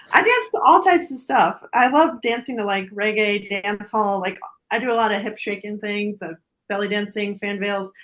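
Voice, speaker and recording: Female, 40s, podcast guest. Average pitch, conversational, giggly delivery. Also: normal speed, a bit bright, rough recording. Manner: giggly, laughs often while talking. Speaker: podcast guest, dancer and influencer. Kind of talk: casual chat.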